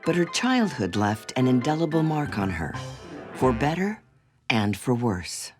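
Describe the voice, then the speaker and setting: A documentary narrator reading a scripted story in a measured, expressive delivery, with music playing behind. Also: medium pitch.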